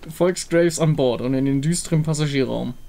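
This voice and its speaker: A man's voice: on the high side, brisk, vocal fry, mellow, a little hiss or hum. A man giving scripted narration as the narrator of a game visual novel.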